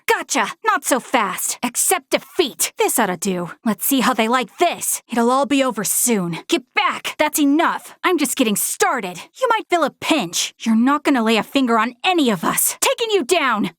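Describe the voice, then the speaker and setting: A woman voicing an earnest, determined young female soldier in a video game, with spirited, crisp military delivery. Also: sits high, fairly bright tone, no room sound, clean studio sound, heavily acted. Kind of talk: video game battle voice lines.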